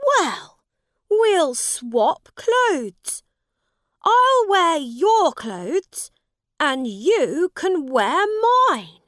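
A woman voicing a lordly, eager young English prince in a storybook, speaking emphatically with drawn-out words. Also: high-pitched, very animated, a bit bright, no echo, clean studio sound, pitched above the performer's own voice, heavily acted. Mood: excited, eager. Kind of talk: storybook character voice.

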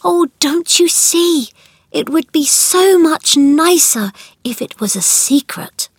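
Woman voicing an earnest, high-voiced young girl. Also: lively intonation, slow, no room sound, clean studio sound, exaggerated voice. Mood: coaxing, earnest. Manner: pleading, coaxing. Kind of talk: children's storybook narration.

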